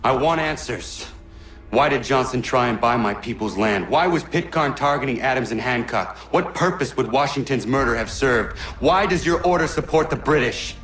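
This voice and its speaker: Game hero, male: an actor as a young, demanding avenger, medium pitch, blunt delivery, roomy echo. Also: animated, moderate pace, fairly bright tone, close to an everyday voice. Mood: stern, demanding. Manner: blunt, insistent questioning. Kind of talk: dramatic video game acting. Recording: roomy, echoing sound.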